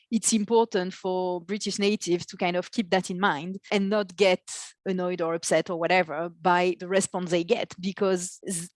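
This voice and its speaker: A woman's voice: lowish voice, no echo. A woman answering as a podcast guest in a conversational, explanatory way. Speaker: podcast guest, marketing executive.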